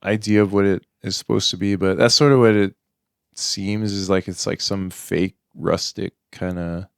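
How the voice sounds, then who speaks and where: Young man, low voice, gravelly, agreeable backchannel chat, dry sound. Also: fairly even intonation, normal speed, no room sound. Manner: agreeable, frequent backchannel yeahs. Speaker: podcast co-host. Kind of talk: casual chat.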